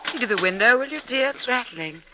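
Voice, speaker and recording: Bright, coaxing 1950s radio-drama wife. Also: female voice, on the high side, animated, a little fast, slight background noise, low-quality recording. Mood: drowsy, placating. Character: drowsy, dismissive wife, anxious mother. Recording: old 1950s radio broadcast recording.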